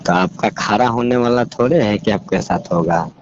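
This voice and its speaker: A man's voice: fairly low pitch, moderate pace, crowd noise. A man with a Bihari accent chatting casually on a phone call, explaining things in a colloquial way. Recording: dull lo-fi call recording, clear noise.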